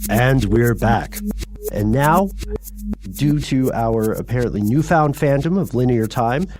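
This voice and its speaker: A man's voice: mid-range voice, unhurried, loud music behind the voice. A man co-hosting a podcast in a casual, conversational style of banter and commentary. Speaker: podcast co-host.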